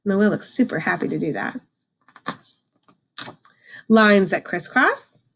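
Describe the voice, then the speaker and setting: A woman reading a picture book aloud to children, adding informal explanations and slowing down for the book's lines. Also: mid-range voice, expressive, husky, poor audio. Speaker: read-aloud host, teacher for children.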